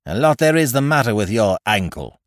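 Man acting aggrieved, sardonic novelist, very animated. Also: medium pitch, brisk, dry recording, pitched above the performer's own voice, lightly acted. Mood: bitter sarcasm. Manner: indignant, biting, drily wordy. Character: aggrieved male novelist, sardonic, exasperated, theatrically menacing.

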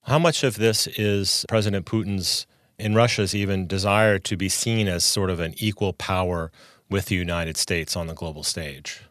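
Deep-voiced male podcast host. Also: fairly even intonation, normal speed, fairly bright tone, no room sound, studio quality. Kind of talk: scripted intro and interview questions. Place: studio. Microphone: studio microphone.

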